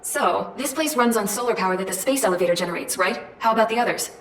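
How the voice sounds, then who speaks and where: An actress playing a tough, street-smart military woman in a game, talking in a blunt, casual, no-nonsense way over loud music. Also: medium pitch, fast, recorded from a distance, slight background noise, lightly acted. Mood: decisive. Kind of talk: scripted storytelling narration.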